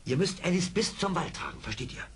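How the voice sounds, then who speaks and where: Shrewd frontier scout, measured and commanding, lively intonation, noisy old radio-play record. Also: male voice, fairly high pitch. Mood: calm, decisive. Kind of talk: 1970s German radio play acting. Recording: old record, clear background noise.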